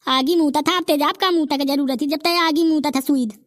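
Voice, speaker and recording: A woman voicing a brash, quick-tempered village character in a comedy cartoon, with a Bundeli accent. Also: sits high, fairly even intonation, no echo, studio quality, natural voice.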